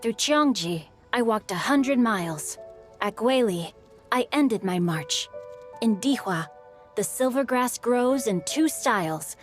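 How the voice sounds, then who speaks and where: A poised young game heroine with a poetic turn. Her voice is fairly high and unhurried, elegant yet full of inflection. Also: animated, soft background music. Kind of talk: video game voice acting.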